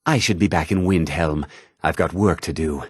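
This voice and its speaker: An actor playing a haughty, self-important nobleman in a fantasy video game, with a husky voice and a pompous, condescending, theatrical delivery. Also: extremely low pitch, normal speed, no echo, clean studio sound. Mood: disdainful. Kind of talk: scripted fantasy game dialogue lines.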